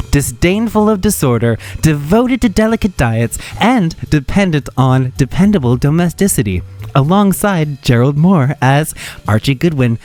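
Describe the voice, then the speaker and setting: A man's voice: fairly high pitch, darkish tone. A man hosting a radio show, reading scripted introductions with a theatrical flourish. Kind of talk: scripted narration introducing vintage radio dramas.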